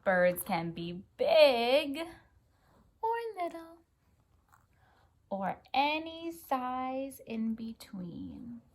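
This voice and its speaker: An American woman reading a picture book aloud for children and chatting gently, often prompting a young child with questions. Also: high-pitched, sing-song, extremely slow, pauses often. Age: adult. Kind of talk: children's story read-aloud with gentle chat.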